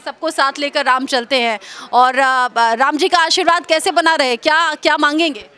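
A woman's voice: sits high, normal speed. A woman in her thirties reporting live in a newsroom, chatting with colleagues on a handheld mic. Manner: lively, chatty, upbeat questioning. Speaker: news reporter. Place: busy indoor newsroom.

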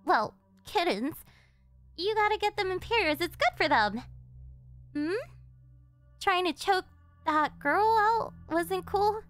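A woman's voice: extremely high pitch, animated, slow pace, long pauses, a bit bright, faint music behind, full character voice. An actress playing a clingy, possessive delinquent girlfriend in an ASMR roleplay, sweet and doting with a menacing edge. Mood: sheepish, coaxing. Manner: doting, pleading, swinging into threats.

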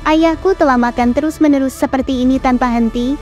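An actress playing a gentle young princess in a dubbed cartoon, speaking in a pleading, sorrowful way. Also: female voice, high voice, steady tone, a little fast, soft background music, close to an everyday voice.

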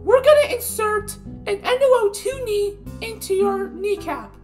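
A woman's voice: very high-pitched, expressive, a little slow, darkish tone, soft background music. A woman voicing a scatterbrained, well-meaning animatronic chicken in an amateur cartoon skit, eager and earnest.

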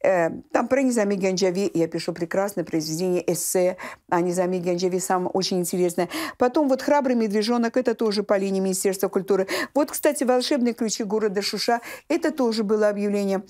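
An older woman from Azerbaijan speaks as a guest in a television interview. She tells her stories in a conversational, emphatic way, repeating key phrases for effect. Her voice sits at a medium pitch. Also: dark tone. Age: 60s. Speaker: children's writer and teacher, interview guest. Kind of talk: animated conversational storytelling in a TV interview. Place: small indoor room set with shelves, books and textiles.

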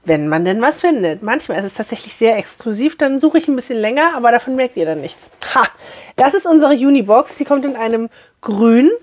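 Hoarse woman in her 30s, a bit high voice, chatty unboxing vlog in a little room. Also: expressive, fairly quick. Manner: chatty, cheerful, talks straight to viewers. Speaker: beauty box unboxing vlogger. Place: small room indoors.

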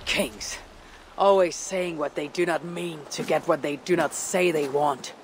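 A woman playing a stern, weary Viking warrior leader in a video game, grave and measured. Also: deep voice, a little hiss or hum. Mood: grim. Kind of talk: dramatic game cutscene acting.

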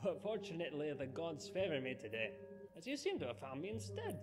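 A man's voice: fairly high pitch, very animated, brisk. A man voicing an eager, polite messenger servant in a fantasy video game, speaking in formal, courteous, earnest phrases. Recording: game music behind the voice.

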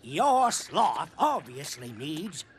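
Grumpy old witch doctor in a cartoon, indignant, slow, pitch all over the place. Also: very animated, heavily acted. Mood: smug, scornful. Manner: indignant, boastful, theatrical. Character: cranky, superstitious older male witch doctor. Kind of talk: cartoon voice acting.

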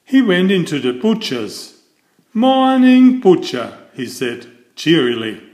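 A man reading a children's picture book aloud as storyteller, with deliberate, emphatic phrasing. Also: medium pitch, very animated, reverberant.

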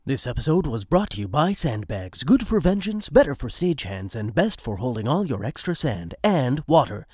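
A man's voice: medium pitch, darkish tone, dry recording, studio quality. A man giving scripted, theatrical narration for a radio play.

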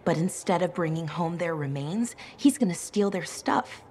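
A woman's voice: on the low side, animated, normal speed, a little mellow, natural voice. A woman playing an impulsive, eager young adventurer in a video game, speaking expressively. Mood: earnest.

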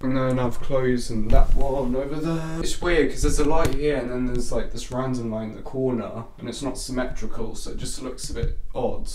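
A teenage boy, hoarse and stuffy-nosed from a cold, chatting casually to camera in a small bedroom. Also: medium pitch, fairly even intonation, moderate pace, few pauses, a little mellow, slight background noise. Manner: rambling, chatty, lots of fillers. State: hoarse from a cold, blocked nose. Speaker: vlogger. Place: small holiday cottage bedroom, indoors.

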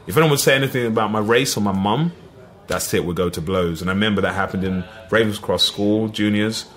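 A middle-aged man with an East London accent, telling lively stories as a podcast guest, full of "you know what I mean". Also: lowish voice, fast talker. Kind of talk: casual storytelling.

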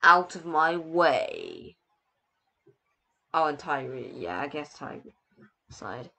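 A man's voice: on the high side, big pitch swings, slow pace, vocal fry, pushed and bright, slight background noise. A young man giving casual, rambling game commentary in a hesitant, self-deprecating way, sometimes reading captions aloud. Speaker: gaming video host.

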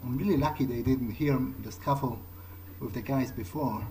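A man's voice: mid-range voice, normal speed, slight background noise, low-quality recording. A man talking to himself in character as he plays through a video game. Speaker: gamer role-playing a character. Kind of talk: in-character game playthrough commentary, talking to self. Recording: loud game music behind the voice. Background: game music.

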